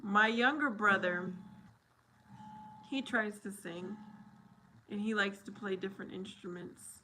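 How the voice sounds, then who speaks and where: Slow-talking woman live streamer. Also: mid-range voice, sharp tone, slight background noise, poor audio. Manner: chatty, laughs often, answers viewers by name. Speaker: live streamer reading chat. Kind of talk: casual live stream chat.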